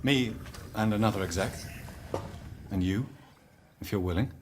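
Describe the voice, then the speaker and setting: An actor playing a polite company executive in a video game, measured, courteous and gently persuasive. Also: male voice, on the low side, noisy background, close to an everyday voice. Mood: calm, persuasive.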